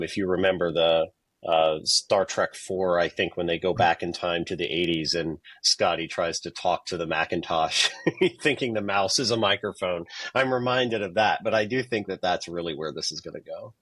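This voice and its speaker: A man's voice: on the low side, fairly bright tone, no room sound. A man speaking as a tech expert guest on a podcast, explaining his points conversationally with quoted examples. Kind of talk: conversational interview answers.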